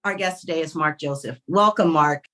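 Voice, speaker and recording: A woman hosting a podcast interview, encouraging and expressive, now and then slipping into playful put-on voices. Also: deep voice, a little fast. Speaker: podcast host.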